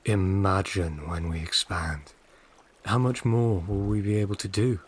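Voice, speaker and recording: Calm persuasive city guide in a scifi audio drama, reassuring, kinda breathy rough voice. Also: male voice, low-pitched, a little slow. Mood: calm, assured. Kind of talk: full-cast audio drama acting.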